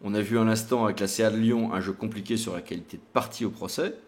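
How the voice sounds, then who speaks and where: A man's voice: fairly low pitch, fairly even intonation, quick pace. Man presenting an explanatory legal tutorial.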